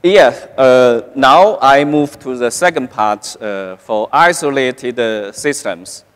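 A middle-aged man giving a keynote science lecture at a conference, speaking haltingly with many "uh" fillers and restarts. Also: medium pitch, clear, resonant tone. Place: large conference hall stage. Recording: long hall reverb.

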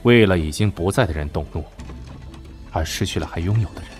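A man playing a scheming, world-weary young prince in a Chinese period audio drama, speaking in a theatrical, drawn-out way with sighs. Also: deep voice, faint music behind, natural voice. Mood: sorrowful, earnest. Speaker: lead voice actor in an audio drama. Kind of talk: period audio drama acting with inner monologue.

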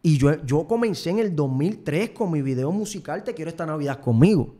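A man's voice: fairly high pitch, expressive, a little fast, warm tone. A young man with a Puerto Rican accent hosting a show in a rambling, repetitive, self-promoting comic monologue, close on a studio microphone. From Puerto Rico. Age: young adult. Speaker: show host and singer. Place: studio set, indoors. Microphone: close desk microphone with headphones.

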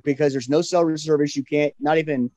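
A man in his thirties chatting casually on a video call headset, talking quickly and repeating words as he goes. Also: on the high side, steady tone, warm tone, dry recording. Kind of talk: casual chat.

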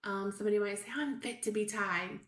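A middle-aged woman teaching young children as a preschool teacher, explaining things simply with hesitant pauses. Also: mid-range voice, fairly bright tone. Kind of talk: read-aloud lesson for young children. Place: small room at home, indoors. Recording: roomy, echoey home recording.

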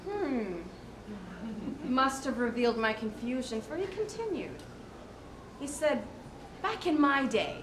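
Roomy, noisy live recording of a female reader, slightly high voice, performing a story dramatically. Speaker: drama student or college staff reader. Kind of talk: dramatic reading of a short story. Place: indoor public venue reading.

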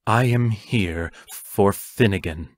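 A woman's voice: a bass voice, slow, no room sound, clean studio sound, full character voice. A woman playing a terse, protective, anguished teacher in an audio drama, giving firm commands. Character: stern, protective, anguished adult teacher facing fairies.

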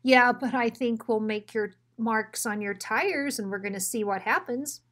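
A woman's voice: on the high side, unhurried, a bit bright. A timid, goofy little dog from a children's story, voiced by a woman. He talks in a nervous, hesitant, naive way, as the smaller sidekick.

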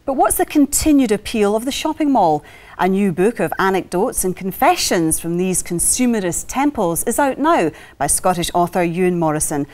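A middle-aged woman hosts a television news show from the studio, wearing a clip-on mic. She reads her links and puts questions to a guest in a crisp, measured voice of middle pitch. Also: expressive, a little mellow. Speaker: TV news presenter. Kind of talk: scripted news presenting and interviewing. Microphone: lapel mic.

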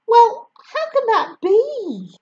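A woman's voice: extremely high pitch, wildly swooping pitch, very slow, mellow, muffled recording, pitched above the performer's own voice, exaggerated voice. A woman voicing a caring, fussing, indignant mother in a storybook reading. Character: worried caring mum.

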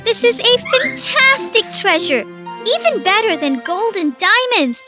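A woman's voice: extremely high pitch, big pitch swings, measured pace, full character voice. A cheerful young cartoon girl. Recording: cartoon with music behind.

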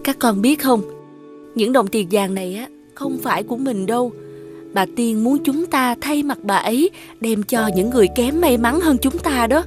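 A woman voicing a gentle, loving mother in a cartoon, explaining things to her children. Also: fairly high pitch, normal speed, over music, natural voice. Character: loving mother of two girls.

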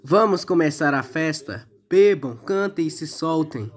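A man's voice: a little high, lively intonation, measured pace. A man with a Brazilian accent voicing an easygoing, girl-chasing teenage party boy in a manga fan dub. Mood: upbeat. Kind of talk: dramatic fan dub dialogue. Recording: loud music behind.

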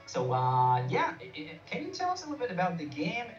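A man's voice: sits high, huge pitch swings, fairly quick, lo-fi sound. A man casually presenting a live online showcase as its host, speaking informally and hesitantly and putting questions to guests. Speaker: host of a game jam showcase. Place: online video call. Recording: roomy, distant, band-limited call over music.

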